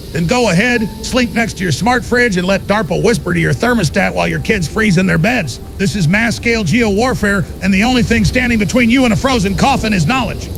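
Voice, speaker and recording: Through heavy static comes an actor as a male doomsday radio ranter, paranoid and urgent. His voice sits high with a lot of creak, and he presses each warning accusingly, in radio-drama fashion. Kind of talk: apocalyptic radio rant, fictional audio drama. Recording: crackly static-laden radio broadcast, rumbling noise.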